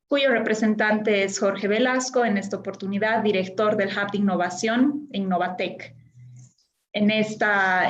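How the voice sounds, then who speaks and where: A woman's voice: medium pitch, muffled recording. Polite woman moderator in a roomy space. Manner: formal, polite. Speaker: webinar host and moderator. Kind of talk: formal introduction.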